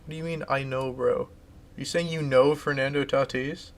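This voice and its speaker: A man's voice: medium pitch, steady tone, normal speed. A man streaming live, casually chatting and reading out viewer comments, rambling and restarting his sentences.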